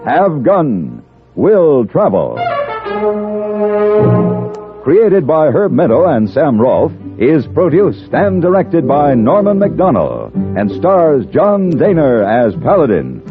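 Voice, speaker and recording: A man giving formal, deliberate closing credits as a 1950s radio announcer. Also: mid-range voice, huge pitch swings. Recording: tinny 1950s radio, music behind.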